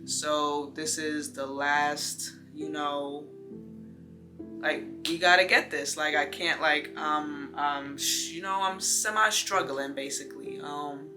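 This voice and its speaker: A woman's voice: low-pitched, steady tone, slow, music in the background. A woman in her late twenties, a vlogger talking casually to her viewers in a rambling, heartfelt way with lots of fillers. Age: 20s. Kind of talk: casual talk to camera.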